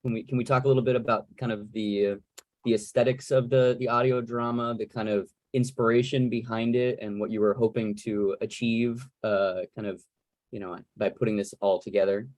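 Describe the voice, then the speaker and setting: A man's voice: mid-range voice, fairly even intonation, a little fast, darkish tone, no room sound. A man hosting a casual moderated interview over a video call, asking chatty, rambling questions. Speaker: host, moderator.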